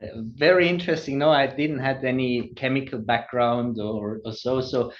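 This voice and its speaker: A man from Switzerland with a Swiss accent, answering podcast questions in a halting, filler-heavy way. His voice sits at an ordinary pitch. The audio is dull and slightly muffled, like a remote call. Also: steady tone. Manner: hesitant, many ums and uhs. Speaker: guest. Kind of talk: podcast interview.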